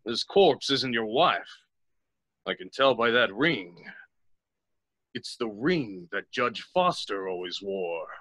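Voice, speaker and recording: An actor playing a skeptical, folksy small-town sheriff in an old-time radio drama, husky and authoritative. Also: male voice, on the low side, sing-song, takes it slowly, fairly bright tone, no room sound, full character voice. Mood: steady, authoritative.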